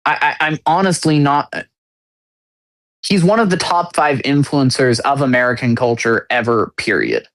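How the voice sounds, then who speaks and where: A man of middling pitch talking on a casual podcast. He hunts for his words as he goes, restarting phrases and stacking fillers as he builds an argument. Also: fairly bright tone. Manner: halting, searching for words with many restarts. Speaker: podcast co-host.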